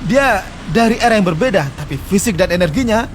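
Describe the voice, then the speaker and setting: A man in his forties explaining customs in a casual interview, quick and earnest. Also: high-pitched, lively intonation, a little hiss or hum. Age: 40s. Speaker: interview guest.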